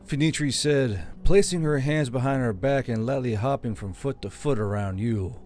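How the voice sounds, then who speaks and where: Male audiobook narrator, medium pitch. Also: normal speed, darkish tone, soft background music.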